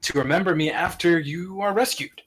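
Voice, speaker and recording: Man reading as a devoted, high-toned fatherly dragon. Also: normal speed, a bit bright, poor audio. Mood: tender, earnest. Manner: earnest, grandiose, storybook phrasing. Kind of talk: table read of a fantasy film script.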